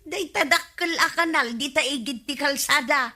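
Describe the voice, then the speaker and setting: A woman's voice: high voice, moderate pace, bright, forceful tone. A woman with an Ilocano accent playing an indignant, insistently complaining village wife in a radio comedy drama. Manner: scolding, insistent.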